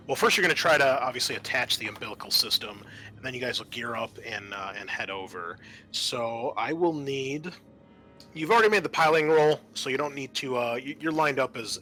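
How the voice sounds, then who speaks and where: Bright-voiced man running a tabletop game. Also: medium pitch, animated, soft background music. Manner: halting, thinking aloud. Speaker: game master. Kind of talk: casual tabletop game narration. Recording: faint background noise.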